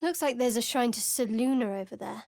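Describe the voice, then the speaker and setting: A woman playing a guarded, sardonic young cleric of a dark goddess in a fantasy video game, speaking in a dry, measured, wry way. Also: on the high side, gravelly, no room sound. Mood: composed, dry.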